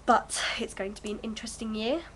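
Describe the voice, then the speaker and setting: A woman's voice: on the high side, fairly even intonation, fast talker, a bit bright, rough recording. Young woman vlogging at home with a cold, stuffy voice, lots of ums, bit of hiss behind. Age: early 20s. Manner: rambling, hesitant, many fillers. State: bunged up from a cold. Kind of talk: casual chat to camera.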